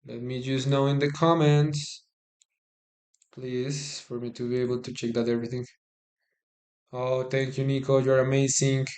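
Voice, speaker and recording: A man's voice: medium pitch, little pitch movement, darkish tone. Chatty Colombian man, chess commentary. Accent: Colombian Spanish. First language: Spanish. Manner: chatty and halting, repeats words, thanks and addresses viewers. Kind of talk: casual live-stream chess commentary. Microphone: phone.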